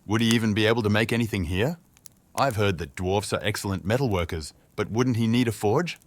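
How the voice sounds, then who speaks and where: A man voicing a weary young man, exasperated and forceful when he speaks up. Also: lowish voice, a little fast, natural voice. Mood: earnest.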